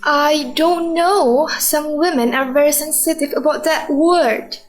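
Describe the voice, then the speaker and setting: A woman's voice: high voice, soft background music. A woman playing a sardonic wife who questions her husband in a radio drama. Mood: pointed. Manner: sarcastic, deliberate, questioning.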